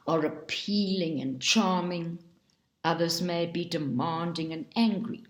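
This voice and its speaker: A woman reading a book aloud in a slow, measured way, now and then stumbling over a word. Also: echoey room. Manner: slow, measured reading with lively pitch and occasional slips. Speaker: reader.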